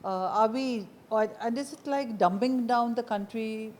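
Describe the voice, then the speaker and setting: A woman's voice: on the high side, moderate pace, a little mellow, a little hiss or hum. A woman with an Indian accent asking questions as the host of a conversational interview.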